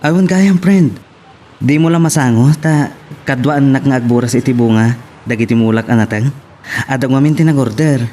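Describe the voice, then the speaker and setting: A man's voice: medium pitch, normal speed, mellow, natural voice. A man acting a good-humoured young farmer in love, easygoing, in an Ilocano audio drama. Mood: easygoing, resigned. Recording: noisy background.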